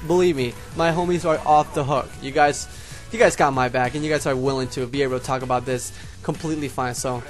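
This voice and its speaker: A man's voice: medium pitch, steady tone, fast talker, faint music behind. Young puerto rican guy w a slight lisp, spanish first language, rambly vlog chat to his homies. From Puerto Rico. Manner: rambling, talks straight to viewers as homies. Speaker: YouTuber. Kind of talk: casual vlog monologue.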